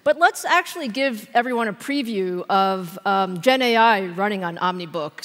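A woman's voice: fairly high pitch, fairly bright tone. Older woman as keynote host, upbeat and conversational, with long hall reverb. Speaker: tech executive keynote host. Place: large conference stage. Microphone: headset mic.

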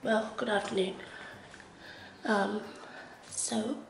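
A woman's voice: mid-range voice, steady tone, slow pace, gravelly, slight background noise. A young Australian woman chatting casually to her camera at home, with a stuffy, blocked nose, rambling and hesitant with lots of fillers. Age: young adult. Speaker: vlogger. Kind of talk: casual vlog chat to camera. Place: living room of a house, indoors. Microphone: handheld camera at arm's length.

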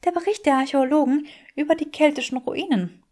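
A woman voicing an earnest, sharp-witted, bookish young woman in an audiobook, prim and mock-serious. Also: high-pitched, brisk, pitched above the performer's own voice, close to an everyday voice. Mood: prim teasing.

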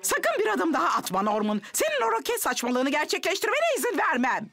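A woman playing an excitable, easily flattered, scolding mother in a children's cartoon dub. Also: high voice, brisk, gravelly, heavily acted. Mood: alarmed, scolding. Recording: music behind the voice.

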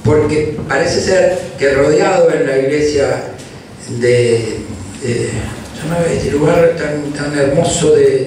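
A man's voice: fairly high pitch, far from the mic, background noise. A man with an Argentine accent telling an anecdote on a panel in a university hall. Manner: storytelling, anecdotal. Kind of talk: panel discussion. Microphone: handheld mic, far camera. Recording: roomy hall from afar.

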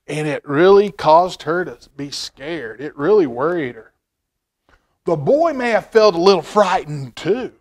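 A middle-aged man doing a library storytime, reading a picture book and chatting to children in an expressive, folksy manner, repeating phrases for emphasis. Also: on the high side. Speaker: library storytime reader, stand-in host. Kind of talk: animated picture-book read-aloud with asides to children. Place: indoor library room, seated at a table.

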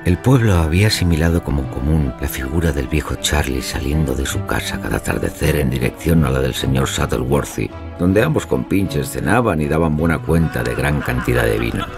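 A man's voice: a bass voice, animated, a little fast, vocal fry, hardly any pauses, exaggerated voice. An actor playing a self-assured, pompous male storyteller, narrating a mystery audio drama in theatrical style. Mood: grave, knowing. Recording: music behind the voice.

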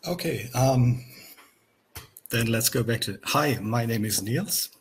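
An older man from Germany with a German accent, a software developer talking on a video call with many fillers and restarts. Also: mid-range voice, lively intonation, takes it slowly, noisy background. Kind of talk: casual video call chat. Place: small room at home. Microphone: headset on a video call.

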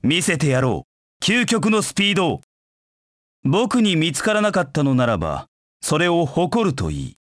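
An actor voicing a proud, cold dark knight in a video game, with curt, haughty, disdainful delivery. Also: male voice, fairly high pitch, very animated, moderate pace, no echo, clean studio sound. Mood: confident, aloof, then forceful, challenging. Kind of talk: video game voice acting in short battle lines.

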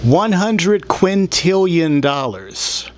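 A man's voice: sits high, very animated, drawn-out words. A man in his thirties hosting his own show, delivering a rambling, blunt and aggrieved monologue with profanity into a desktop microphone in a small room. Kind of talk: rambling opinionated monologue to camera. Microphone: desktop microphone, later held in hand. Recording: clear background noise, room ambience.

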